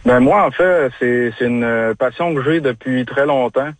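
A young man from Quebec with a Quebec French accent, talking casually as a radio guest, halting with many pauses and restarts. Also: mid-range voice, no room sound. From Chaudière-Appalaches, Quebec. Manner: halting, frequent hesitations and restarts. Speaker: guest, bloodhound handler.

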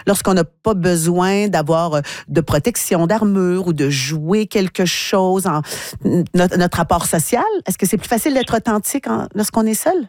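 A woman's voice: fairly low pitch, moderate pace, a little mellow, no room sound, studio quality. A woman with a Québécois accent hosting a radio interview, engaged and affirming. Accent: Québécois French. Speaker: radio host. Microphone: studio mic.

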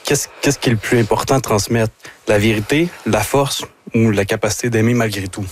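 A man from Quebec with a Québécois accent, an audience member earnestly asking a measured question. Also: on the low side, a little hiss or hum.

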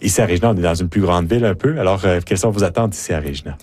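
Relaxed radio host, man. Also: sits low, rapid-fire, no room sound, studio quality. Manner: relaxed, chatty with colleagues. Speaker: radio morning show host. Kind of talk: live radio interview and wrap-up. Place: radio studio.

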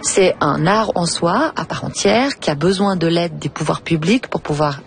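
A woman's voice: lowish voice, expressive, normal speed, vocal fry, a little hiss or hum. A woman answering an interview in an earnest, advocating tone. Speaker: comedian and association representative.

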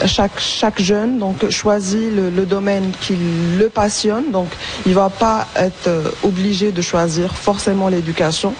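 A woman's voice: medium pitch, steady tone, a little slow, hardly any pauses, darkish tone, slight background noise. A young adult woman whose first language is Arabic, answering interview questions casually with many fillers and restarts. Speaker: interview guest, school support worker. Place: on location for a live radio segment.

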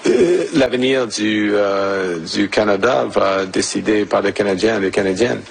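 A man speaking slowly and formally, listing groups one by one. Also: on the low side, creaky, breathy edge.

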